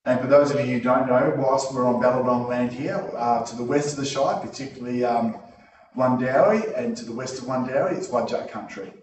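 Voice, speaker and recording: An Australian man chairing a local council meeting, opening it with formal items and casual joking asides in a loud voice. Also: mid-range voice, a little fast, crowd noise. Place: council chamber. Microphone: not using the microphone. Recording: roomy, from far off.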